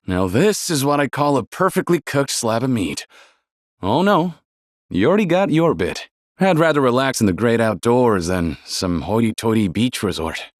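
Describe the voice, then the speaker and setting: A man's voice: mid-range voice, no room sound, clean studio sound, natural voice. A man voicing an easygoing, friendly outdoorsman who loves his food, in a video game. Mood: content. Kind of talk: video game NPC voice acting.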